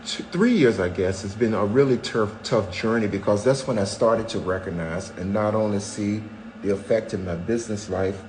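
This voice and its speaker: An older man in his seventies talking openly and at length on a podcast. He tells stories with a wry, self-mocking humor, and his voice sits on the low side. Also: a little mellow, slight background noise, poor audio. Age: 70s. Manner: candid storyteller, wry self-mocking jokes. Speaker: podcast co-host, husband. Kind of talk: casual chat.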